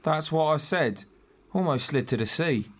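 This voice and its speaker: An actor playing a clumsy male accomplice who fell in the mud, sulky and deadpan in a radio drama. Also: on the high side, moderate pace.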